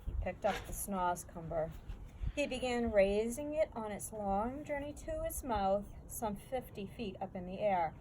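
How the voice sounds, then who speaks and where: A woman's voice: mid-range voice, lively intonation, unhurried, dark tone, a little hiss or hum, rough recording. A woman narrating a children's story expressively from a book, as a read-aloud. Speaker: storybook reader.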